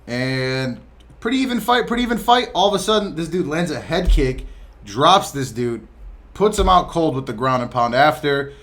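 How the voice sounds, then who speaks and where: A young man co-hosting a casual sports podcast, telling stories excitedly and swearing freely. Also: a little high, moderate pace. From Bosnia. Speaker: podcast co-host. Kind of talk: casual chat.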